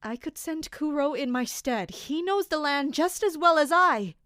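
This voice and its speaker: A woman's voice: high voice, animated, measured pace, fairly bright tone, no echo, clean studio sound. A woman playing an anguished, dutiful and bitter royal captain in an audio drama, brooding and emotionally intense. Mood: reluctant.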